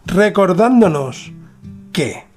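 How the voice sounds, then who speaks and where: A man from narrates a scripted mystery documentary in a solemn, dramatic manner with deliberate pauses. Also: high-pitched, big pitch swings, a little mellow, faint music behind. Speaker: podcast host narrator.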